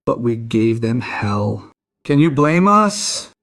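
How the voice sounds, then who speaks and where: An actor playing a defiant, resentful young out-of-work suspect in a crime radio play, sullen and evasive. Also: male voice, mid-range voice, very animated, slow, fairly bright tone, heavily acted. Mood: bitter, resentful. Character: defiant, resentful young unemployed working-class male suspect.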